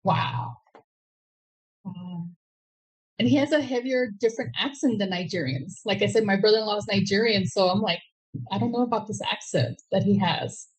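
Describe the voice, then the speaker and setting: A woman's voice: mid-range voice, normal speed, breathy edge, low-quality recording. A woman speaking casually as an interview guest, recounting her own experience. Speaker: guest, scam victim. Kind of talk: casual personal account.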